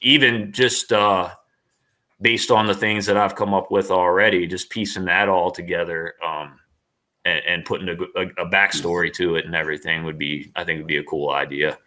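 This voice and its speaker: A man's voice: low-pitched, steady tone, normal speed, bright, forceful tone. A man talking casually as an interview guest, enthusiastic and rambling, often repeating his phrases. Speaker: interview guest, online performer.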